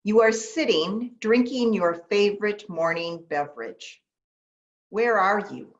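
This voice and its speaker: A woman pastor leading a guided meditation in an unhurried, gentle manner with long pauses, speaking in short instructions. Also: mid-range voice, lively intonation. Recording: dull, lo-fi sound.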